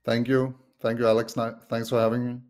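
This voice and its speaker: A middle-aged man from Denmark with a Danish accent, relaxed and chatty as a podcast guest. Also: medium pitch, brisk. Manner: relaxed, frequent restarts and fillers. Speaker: podcast guest, SaaS co-founder. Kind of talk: casual interview chat.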